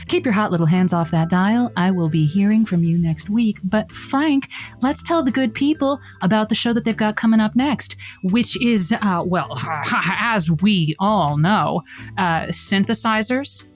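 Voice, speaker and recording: A woman's voice: mid-range voice, natural voice. An actress playing an effusive, prickly woman who hosts a lonely hearts radio show, hesitant and sarcastic, in an audio comedy. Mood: gushing. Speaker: radio call-in host. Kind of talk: scripted audio comedy acting.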